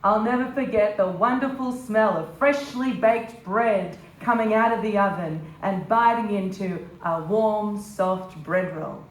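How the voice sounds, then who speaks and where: A woman on stage as a bakers' daughter fondly remembering family life, in a medium-pitched voice. The reading is scripted and heard from a little distance in a very echoey room. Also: unhurried, few pauses, close to an everyday voice. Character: bakers' daughter fondly recalling hardworking parents. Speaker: stage reader. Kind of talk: dramatic reading of family memories. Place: indoor live literary event. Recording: echoey hall, distant mic.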